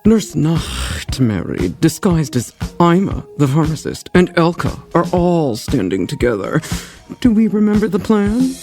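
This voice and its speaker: Woman acting bossy elderly schemer, low, mellow, animated, comic radio play, soft music behind. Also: a little slow. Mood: conspiratorial. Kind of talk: comic audio drama acting.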